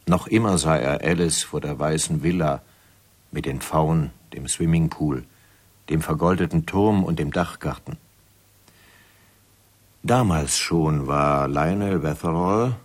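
A grave storyteller with an extremely low voice. He narrates a radio mystery soberly, as scripted drama.

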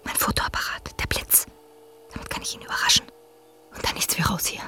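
An actress playing a bold young woman journalist in a radio thriller, often speaking in breathy, urgent tones. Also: fairly quick, bright, forceful tone. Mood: frightened, urgent. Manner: breathy whispering to herself, urgent calling out. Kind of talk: German radio thriller acting.

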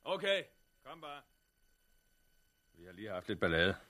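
An actor playing a stern gate guard under orders in a 1960s radio drama, curt and matter-of-fact. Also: male voice, on the low side, sing-song, pushed and bright, no echo. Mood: curt, businesslike.

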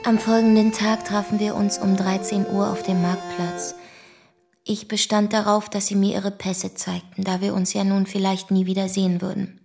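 A woman's voice: medium pitch, little pitch movement, moderate pace, vocal fry, darkish tone, faint music behind, lightly acted. An actress playing a matter-of-fact female witness who recounts events plainly and formally in a German radio drama. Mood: factual, restrained. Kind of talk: German radio drama, first-person testimony.

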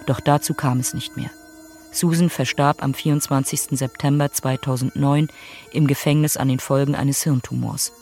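A man's voice: medium pitch, fairly even intonation, normal speed, a little mellow. A man with pronunciation making formal, sober scripted announcements for a radio play. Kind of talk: scripted announcement, credits reading. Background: music.

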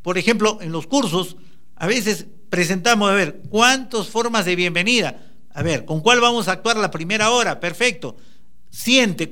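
A man's voice: high voice, sharp tone. Emphatic motivational speaker, man. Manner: emphatic, exhorting the listener directly. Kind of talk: motivational talk.

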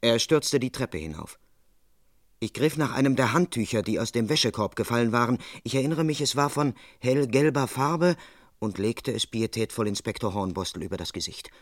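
A man's voice: medium pitch, animated, no echo, close to an everyday voice. Formal, dry-sounding police constable played by a man. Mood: measured, tense. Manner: measured, formal, officious, precise. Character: dutiful, formal London police constable, narrating his own case. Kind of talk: German crime radio play, first-person narration and dialogue.

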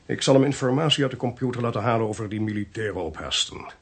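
A man acting in a radio drama, playing a sardonic, authoritative investigator with a dry, commanding, probing manner and a creaky voice. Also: medium pitch, fast talker. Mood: needling. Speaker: actor. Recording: faint hiss on radio play recording.

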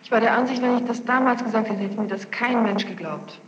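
A woman's voice: a little high, fairly quick. A young woman giving brief, defensive answers as a witness under questioning in court. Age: young adult. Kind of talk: courtroom testimony. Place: large reverberant courtroom. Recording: muffled, noisy, echoey archival courtroom tape. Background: clear tape noise and room ambience.

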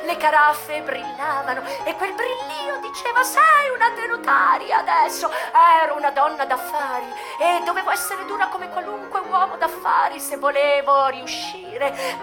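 A brazen old-time brothel madam, really high voice, stagey radio play monologue, savours her words, music clearly underneath. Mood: proud, brisk. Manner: theatrical, drawn-out words, savouring lists. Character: brazen, worldly American brothel madam recalling her trade. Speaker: stage actress. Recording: music playing behind the voice.

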